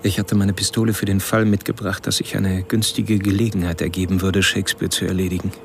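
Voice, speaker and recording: An actor playing an obsessive killer, narrating his stalking in a deliberate, literary, confessional way in a crime radio drama. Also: low voice, level tone, creaky, slight background noise. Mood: tense, watchful.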